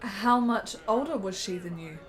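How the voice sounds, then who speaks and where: A woman's voice: mid-range voice, animated, moderate pace, roomy sound, natural voice. A woman playing a frail, sick, lovesick woman in a period radio drama, with melodramatic, intensely emotional delivery. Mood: subdued, gentle. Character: frail, sick, desperately lovesick woman, prone to hysterical wailing.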